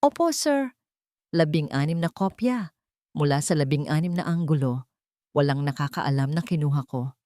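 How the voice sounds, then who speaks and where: A woman's voice: deep voice, expressive, moderate pace, pauses often, dark tone, no echo. A woman narrator plays a male hotel security chief in an audio drama. He is polite and uneasy, reporting respectfully, with a close, dry sound. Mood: nervous, respectful. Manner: deferential, nervous.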